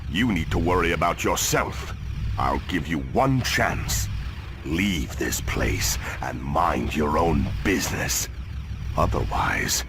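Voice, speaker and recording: An actor playing a smug, menacing, hulking alien crook in a video game, with a gravelly voice and condescending manner. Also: male voice, expressive, full character voice. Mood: smug menace. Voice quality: very deep, gravelly. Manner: slow, threatening, condescending. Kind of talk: video game cutscene acting. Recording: clearly noisy background.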